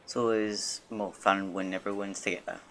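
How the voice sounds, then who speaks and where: A man voicing a calm, supportive male traveling adventurer, reading video game dialogue. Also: sits low, moderate pace, background noise.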